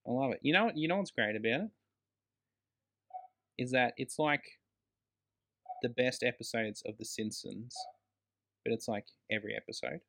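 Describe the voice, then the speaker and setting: A man's voice: medium pitch, normal speed, vocal fry, long pauses, fairly bright tone, a little hiss or hum. An Australian man co-hosting a casual film podcast, talking in a blokey, emphatic way with some swearing. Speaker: podcast co-host.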